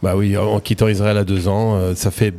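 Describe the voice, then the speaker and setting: A man's voice: fairly low pitch, flat delivery, brisk, warm tone, a little hiss or hum. Man, french accent, radio host, chatty, lots of ums and restarts. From France. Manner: friendly, chatty, many fillers and repeated words. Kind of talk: casual radio interview.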